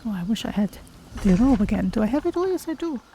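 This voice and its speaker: A woman plays a feline adventurer with a high, soft, mellow voice. She muses to herself in short phrases as she plays a fantasy game, with faint ambient noise behind her. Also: big pitch swings, quick pace. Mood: musing. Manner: musing, talks to self in short phrases. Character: female Khajiit cat-person adventurer, soft-spoken and musing. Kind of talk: in-character game stream commentary.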